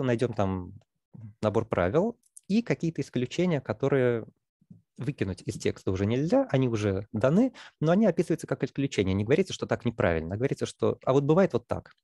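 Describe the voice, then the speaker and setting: A man's voice: mid-range voice, dark tone, no room sound, studio quality. A man giving a lecture on language, talking through his ideas with many fillers and restarts. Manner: thinking aloud with frequent fillers and restarts.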